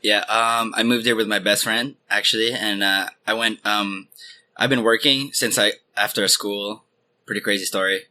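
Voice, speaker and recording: A man in his thirties from Hong Kong, a guest telling rambling, relaxed stories full of fillers. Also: on the low side, moderate pace. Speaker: interview guest, filmmaker. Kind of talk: casual interview chat.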